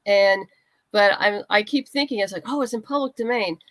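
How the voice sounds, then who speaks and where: A woman's voice: medium pitch, normal speed, fairly bright tone, no echo. A woman chatting casually as an interview guest, talking in an enthusiastic, chatty way. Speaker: guest, writer and comics creator.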